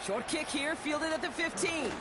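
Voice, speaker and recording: A man with an American accent voicing a football video game play-by-play announcer, excitable and punchy in his delivery. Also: very high-pitched, moderate pace. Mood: brisk, engaged. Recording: game audio with music and crowd noise. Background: music and stadium crowd.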